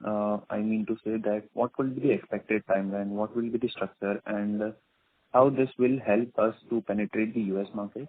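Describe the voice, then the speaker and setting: A man's voice: on the low side, steady tone, moderate pace, dry recording. A man with an Indian accent asking questions as an analyst on an earnings conference call. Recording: phone-like narrow band.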